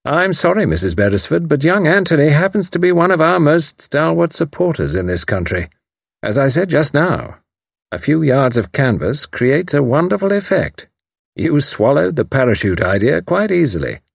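A man's voice: fairly high pitch, big pitch swings, normal speed, vocal fry, slightly rough, no room sound, clean studio sound, pitched above the performer's own voice, exaggerated voice. A man voicing a suave, mocking enemy commander who turns grimly threatening. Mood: mocking, smooth. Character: mocking menacing male enemy spy commander.

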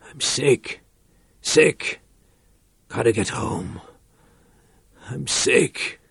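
An actor playing a desperate man sliding into panic and madness, pleading and crying out in a hoarse voice. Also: medium pitch, expressive, drawn-out words, no room sound, exaggerated voice. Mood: panicked. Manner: pleading, cries out, wavers into sing-song. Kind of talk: radio drama acting.

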